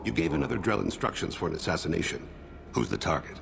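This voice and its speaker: An actor playing a calm, grave alien assassin and father in a video game, speaking in solemn, measured, formal phrases. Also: exaggerated voice. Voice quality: gravelly, very deep.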